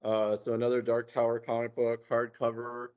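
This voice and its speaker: A man in casual conversation, talking in a hesitant, halting way and often repeating words. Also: medium pitch, completely flat delivery, smooth. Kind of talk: casual chat. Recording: muffled, dull lo-fi sound.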